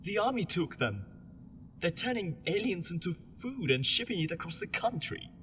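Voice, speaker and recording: A man's voice: high-pitched, big pitch swings, moderate pace, a bit bright. A man playing an earnest, guilt-ridden prisoner. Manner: earnest, subdued. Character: captured young man, guilty and protective. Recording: reverberant, noisy ambience.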